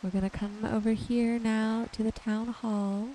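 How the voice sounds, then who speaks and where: A woman giving relaxed ASMR-style gameplay commentary, gently thinking aloud without hurry. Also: on the high side, unhurried, a little hiss or hum, rough recording. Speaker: gaming video host.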